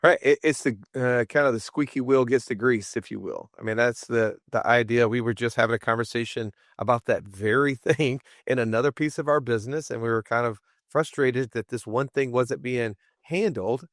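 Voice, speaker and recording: A man co-hosting a finance podcast, upbeat and fired up, with a raspy voice. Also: moderate pace, dry recording, studio quality. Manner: upbeat, hyped, salesy pitch. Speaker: podcast co-host. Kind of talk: energetic podcast chat.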